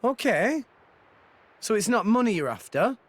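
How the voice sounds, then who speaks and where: An earnest young hero. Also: male voice, sits high, big pitch swings, measured pace, no echo, exaggerated voice. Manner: earnest, coaxing. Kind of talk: video game voice acting.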